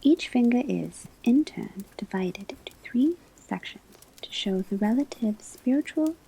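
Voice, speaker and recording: Woman doing asmr class roleplay, breathy husky whisper, soft mellow tone, slow careful teacher phrasing. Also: on the high side, lively intonation, slight background noise, poor audio. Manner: slow, deliberate, measured teacherly phrasing with pauses. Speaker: ASMR performer playing a divination class teacher.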